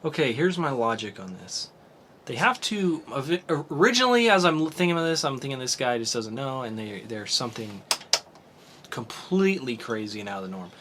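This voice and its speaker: A man's voice: mid-range voice, sing-song, a little fast, bright, forceful tone, a little hiss or hum. A man hosting a casual podcast, thinking aloud and swearing casually as he reasons. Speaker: podcast host.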